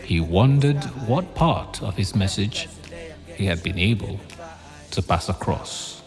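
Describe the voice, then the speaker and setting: An actor narrating an audio drama as a dramatic storyteller. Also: male voice, lowish voice, expressive, a little slow, a little mellow. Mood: suspenseful. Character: omniscient dramatic male storyteller. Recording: long reverb, faint music bed.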